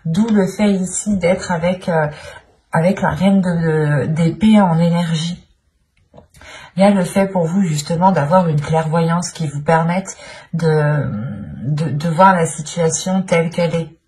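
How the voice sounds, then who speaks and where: A woman's voice: fairly low pitch, steady tone, measured pace, dark tone, a little hiss or hum. A woman giving an improvised tarot reading as a channel host, speaking in a searching way and often repeating her phrases.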